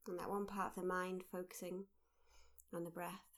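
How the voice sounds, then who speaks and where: A woman leading a guided mindfulness meditation, speaking gently in short, unhurried phrases with pauses. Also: on the low side, flat delivery. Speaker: meditation guide, workshop leader.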